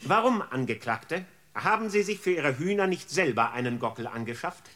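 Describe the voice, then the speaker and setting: A courteous public prosecutor questions drily in a radio comedy. His voice is fairly high and very animated, with a bright, pointed edge and formal phrasing. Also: normal speed. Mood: formal, probing.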